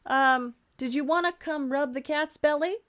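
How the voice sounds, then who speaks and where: A woman's voice: sits high, moderate pace, a bit bright, no room sound. A woman hosting a podcast, chatting casually and playfully, with a hesitant, indulgent manner. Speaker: podcast host.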